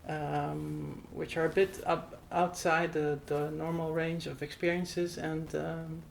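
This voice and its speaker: A woman's voice: low voice, flat delivery, slow, vocal fry, slight background noise. A Dutch woman with a Dutch accent, speaking English as a second language while hosting an interview, hesitant with many fillers. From Netherlands. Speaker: host. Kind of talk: conversational interview. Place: Netherlands.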